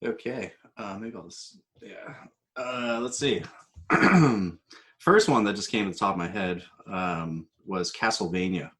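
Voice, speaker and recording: Low-pitched man, casual podcast guest. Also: expressive, measured pace, fairly bright tone. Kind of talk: casual chat.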